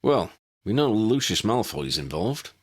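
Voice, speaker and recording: A man's voice: fairly low pitch, sing-song, measured pace, breathy edge, voice pushed up, heavily acted. Man as a blunt, practical wizard sidekick. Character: practical, blunt, wry adult male sidekick.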